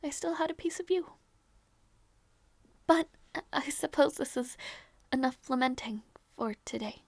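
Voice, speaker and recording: A woman playing a gentle, doting princess aunt in an audio roleplay, with a sweet, coaxing, sighing manner. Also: high-pitched, a little slow, creaky, pauses often, dry recording. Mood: wistful, soft. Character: gentle doting princess aunt minding her little nephew.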